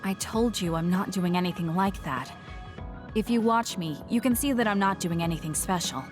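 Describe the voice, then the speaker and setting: A young woman playing a composed, blunt and earnest platoon fighter in an anime game dub, with a measured, matter-of-fact delivery. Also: lowish voice, soft background music, lightly acted. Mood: calm, puzzled.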